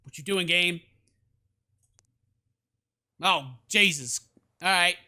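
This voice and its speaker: A man's voice: high voice, slow, bright, forceful tone, clear, resonant tone. A man hosting a gaming video, reading story text aloud and commenting in a jokey, theatrical way. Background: game music.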